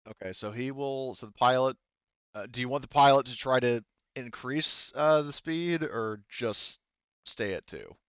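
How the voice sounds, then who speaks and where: A man's voice: mid-range voice, unhurried, a bit bright, clean tone, no echo, lo-fi sound. A man running a tabletop game as game master, talking casually and hesitantly as he thinks through and explains the rules.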